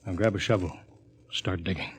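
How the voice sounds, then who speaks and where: An actor playing a sober, authoritative spaceship captain giving clipped orders in 1950s radio drama style. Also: male voice, sits low, fairly even intonation, fairly quick, very noisy, lightly acted. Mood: commanding.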